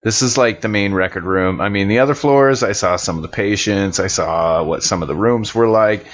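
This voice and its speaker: A man with a lowish voice chats in character during a tabletop game. He talks colloquially, like someone telling a story to friends, and the audio is slightly dull. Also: normal speed, slight background noise. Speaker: player in an actual play podcast. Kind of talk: casual in-character tabletop role-play chat.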